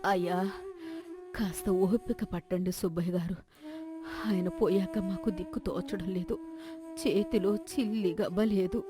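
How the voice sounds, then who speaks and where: A woman's voice: mid-range voice, big pitch swings, a little slow, long pauses, music in the background. A woman voicing an anxious, despairing widowed mother, pleading and fretful, in a folk tale. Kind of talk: acted folk-tale dialogue.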